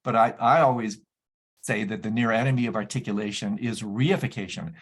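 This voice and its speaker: A man's voice: fairly low pitch, fairly quick. A male interview host who speaks effusively and with enthusiasm about his guest's ideas. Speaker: podcast host. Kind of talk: conversational interview.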